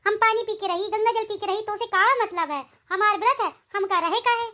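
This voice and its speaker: A woman voicing sharp-tongued, combative village women in a comic cartoon, with an Awadhi Hindi accent. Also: very high voice, a little fast, a crowd in the background. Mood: defiant, irritated. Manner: scolding, combative. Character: sharp-tongued village wife and her scolding mother-in-law, cartoon.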